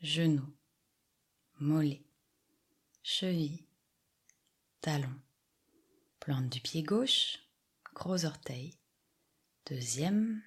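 A woman guiding a yoga meditation with soft, soothing scripted instructions and long pauses. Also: low voice, husky. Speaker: yoga nidra relaxation guide.

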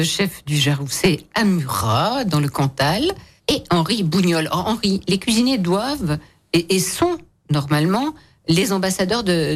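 A woman hosting a radio show in a lively, conversational interview style, chatty and teasing with guests. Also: fairly low pitch.

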